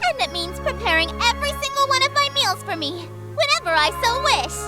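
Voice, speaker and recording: Haughty, very high-voiced chaos goddess. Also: female voice, sing-song, fairly quick. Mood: flustered, insistent. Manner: grandiose, imperious, melodramatic. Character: haughty theatrical eldritch goddess of chaos, female, easily flustered. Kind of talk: scripted visual novel game acting. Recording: music behind the voice.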